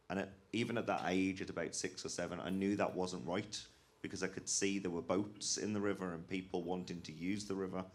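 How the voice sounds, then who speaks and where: Deep-voiced West Midlands man, earnest. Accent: West Midlands English. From West Midlands, England. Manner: earnest, passionate storytelling. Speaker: panel speaker, rivers trust worker. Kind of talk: conference panel talk. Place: conference hall.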